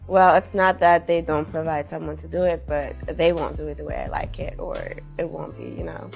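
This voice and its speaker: A 21-year-old fashion model speaking in an interview in a matter-of-fact way. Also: female voice, low-pitched, moderate pace, gravelly, a little mellow, faint music behind. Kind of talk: interview testimony.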